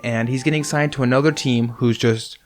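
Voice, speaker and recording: A hoarse young man, getting over a sickness, hosting a casual, opinionated monologue about sports signings. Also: medium pitch, fairly even intonation, fairly quick. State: hoarse from illness. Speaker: host. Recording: faint music underneath. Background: soft background music.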